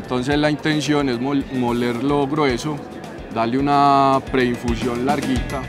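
A man's voice: mid-range voice, flat delivery, a little slow. A Colombian man in his thirties giving a casual, explanatory demonstration as a barista. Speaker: champion barista demonstrating coffee brewing. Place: indoor trade fair booth. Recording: music behind the voice. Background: music and fair noise.

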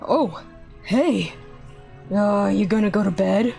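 A woman's voice: mid-range voice, takes it slowly, creaky, mellow. A woman voicing a laid-back, affectionate young male villain boyfriend in a game roleplay. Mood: casual, affectionate. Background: music.

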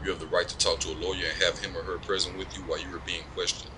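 An actor playing a stern, bullying sheriff's deputy in an audio drama, commanding and procedural. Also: male voice, deep voice, flat delivery, rapid-fire, close to an everyday voice. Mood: official, businesslike. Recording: noisy vehicle ambience.

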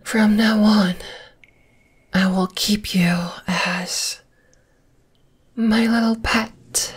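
A woman voicing a possessive, gently dominating spider monster girl in an ASMR roleplay, in a slow, deliberate, breathy whisper. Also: mid-range voice, full character voice. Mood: possessive, coaxing. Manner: slow, deliberate, soothing yet menacing.

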